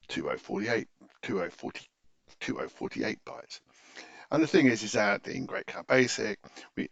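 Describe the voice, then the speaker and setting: A man's voice: lowish voice, gravelly, fairly bright tone, no echo. A man narrating an informal technical tutorial in a hesitant, stop-start way, often correcting himself, in a slightly muffled close-miked recording. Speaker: tutorial presenter.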